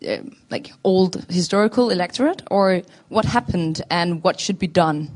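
A woman's voice: fairly low pitch, moderate pace, a little mellow. A young woman student, asking a question from the audience and searching for her words. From Denmark.

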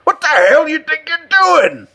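A man voicing a frightened old homeless man with a hoarse voice and rough street speech in a story. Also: mid-range voice, lively intonation, measured pace, bright, forceful tone, voice pushed up, heavily acted. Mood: frantic, alarmed.

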